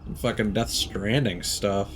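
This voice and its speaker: A man's voice: fairly low pitch, unhurried, a little hiss or hum. A man giving casual live video game commentary, thinking aloud and swearing now and then.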